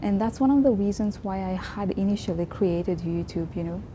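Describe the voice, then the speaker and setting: A woman in her twenties from Mauritius, whose first language is Creole, talking earnestly and reflectively in a personal vlog, pausing and restarting often. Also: medium pitch, warm tone, slight background noise. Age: 20s. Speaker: vlogger. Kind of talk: casual personal vlog talk.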